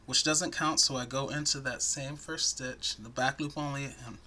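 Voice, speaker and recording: A man teaching crochet step by step in a soothing, soft-spoken voice, chatting between stitches. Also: medium pitch, steady tone, moderate pace, a little hiss or hum. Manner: soft-spoken, chatty, self-deprecating asides. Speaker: crochet tutorial host.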